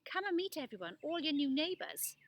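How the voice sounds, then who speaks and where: A woman's voice: sits high, big pitch swings, brisk, no echo, pitched above the performer's own voice. A woman voicing a kindly, welcoming young boy in a children's story in a reassuring way.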